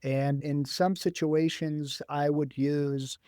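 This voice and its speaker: A young man whose first language is Arabic, speaking thoughtfully as a graduate student guest in a career interview, with frequent "uh" pauses. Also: on the high side, little pitch movement, warm tone, smooth, no echo, studio quality.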